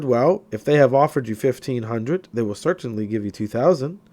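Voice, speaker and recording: A man reading a self-help audiobook in an expressive, emphatic scripted narration style. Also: fairly high pitch.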